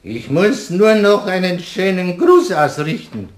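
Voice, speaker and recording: An actor playing a tipsy, stubborn husband with a Bavarian accent, blustering and mocking in a German radio play. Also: male voice, sits high, sing-song, a little slow. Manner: blustering, emphatic.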